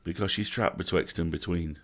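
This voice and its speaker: A man's voice: low voice, brisk, fairly bright tone. A man voicing a blunt, fierce, weary old grandmother in a storybook, scolding and curt. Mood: weary reproach.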